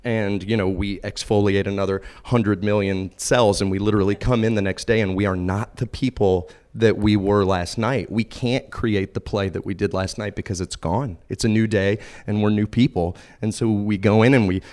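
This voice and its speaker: A man with a fairly low voice speaks on a live panel as a stage actor. He is emphatic, stacking short clauses one after another. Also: steady tone, moderate pace, few pauses, darkish tone.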